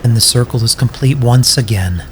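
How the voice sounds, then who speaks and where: An actor playing a bitter, self-pitying wasteland survivor in an audio drama, sarcastic, profane and defensive. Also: male voice, medium pitch, normal speed, faint music behind, close to an everyday voice. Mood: bitter, wounded.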